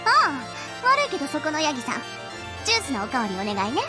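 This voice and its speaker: A woman's voice: high-pitched, wildly swooping pitch, racing, loud music behind the voice. An actress playing a capricious, haughty young witch in a game, playful and commanding. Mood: bossy, playful.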